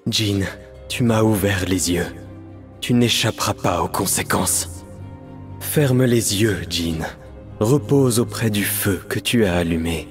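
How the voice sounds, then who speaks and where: Slow, brooding painter mage. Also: male voice, lowish voice. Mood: solemn, resolute. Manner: solemn, measured, poetic. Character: brooding, melancholic male painter mage, torn between light and darkness. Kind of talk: scripted video game champion voice lines. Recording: music behind the voice.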